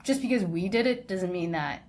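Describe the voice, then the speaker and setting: A woman's voice: fairly low pitch, lively intonation, normal speed, a little mellow. A young woman, co-hosting a podcast in casual, conversational chat, often checking in with the listener. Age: young adult. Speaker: podcast co-host.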